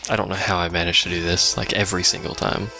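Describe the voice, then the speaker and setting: A man's voice: low-pitched, flat delivery, fast, vocal fry, music in the background. A man giving casual, dry and sarcastic commentary on a video game he is playing. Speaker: let's play commentator.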